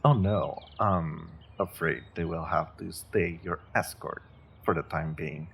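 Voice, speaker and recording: A man playing a smug, mock-kind evil sorcerer in a fantasy audio roleplay, condescending and quietly threatening to his captive, with a deep, husky, gravelly voice. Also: unhurried, a little hiss or hum. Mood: smug politeness.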